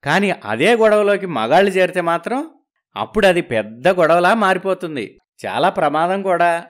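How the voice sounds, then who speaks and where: A man's voice: high-pitched, very animated, normal speed. A man playing a genial, food-loving village brother-in-law in a moral story, effusive and full of exclamations. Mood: earnest.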